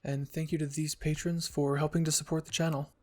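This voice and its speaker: A narrator with a husky, creaky voice reading fan fiction in a soft scripted storytelling style. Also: medium pitch, level tone, a little fast. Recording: music behind the voice.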